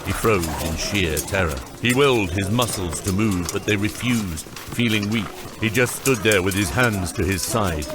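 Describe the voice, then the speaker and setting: A man narrates epic fantasy in a low voice. His storytelling is measured and dramatic, scripted audiobook style, with prominent music playing behind him.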